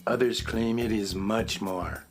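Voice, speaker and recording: A man playing a knowing, mysterious music box shopkeeper in a children's radio play, telling legends in a measured, portentous way. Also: fairly low pitch, steady tone, natural voice.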